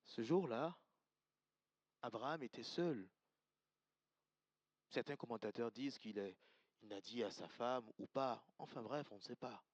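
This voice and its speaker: A man's voice: medium pitch, unhurried, pauses often, no room sound, muffled recording. A man from Côte d'Ivoire, preaching an earnest, emphatic sermon to his congregation and repeating key lines. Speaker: pastor. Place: church service.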